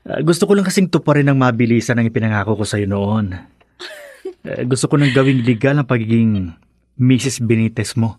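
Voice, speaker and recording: A man's voice: medium pitch, a little fast, a little mellow, dry recording, studio quality, natural voice. A man acting in a Filipino radio drama as an earnest young newlywed, a conciliatory son and brother. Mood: playful, tender.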